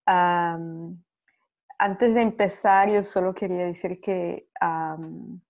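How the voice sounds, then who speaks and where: A woman's voice: lowish voice, fairly even intonation, slow, darkish tone. A woman on a video call from home, speaking hesitantly and searching for words in a language that is not her first. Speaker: writer and researcher, panel guest. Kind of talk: online panel conversation. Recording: dull lo-fi video call audio.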